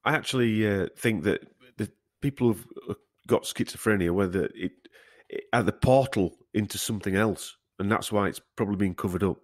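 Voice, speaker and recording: A man's voice: lowish voice, fairly quick, no room sound. A man hosting a podcast, giving opinions in rambling casual chat with tag questions. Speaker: podcast host.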